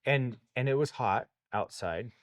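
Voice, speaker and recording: A man's voice: mid-range voice, expressive, measured pace, dry recording, studio quality. A man hosting a farm talk show, chatting in a plainspoken, folksy way. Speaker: host. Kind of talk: casual radio talk.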